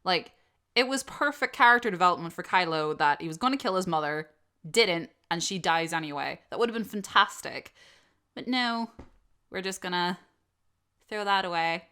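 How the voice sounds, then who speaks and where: A woman host answering questions in a casual, opinionated and animated chat, swearing freely. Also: fairly low pitch, moderate pace, smooth. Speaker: host of an audio channel.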